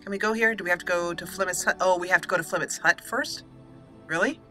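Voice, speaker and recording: A woman's voice: on the low side, quick pace, pushed and bright, soft background music. A woman giving casual, playful let's play commentary, chatting to herself and to the game as she goes. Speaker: gaming video host.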